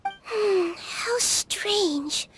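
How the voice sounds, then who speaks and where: An actress voicing a small, gentle childlike creature who works as a nurse, in an earnest, sweet and curious video game performance. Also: female voice, very high voice, lively intonation, very slow, a little hiss or hum, heavily acted, low-quality recording. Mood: puzzled. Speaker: game character.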